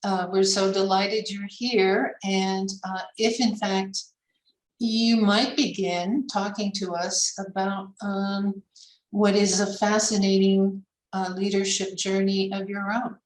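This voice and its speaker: Halting woman moderator, slow and distant. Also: mid-range voice, little pitch movement, a little hiss or hum. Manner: hesitant, halting, many fillers. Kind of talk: introducing a guest at an online event. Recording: roomy audio from far off the mic.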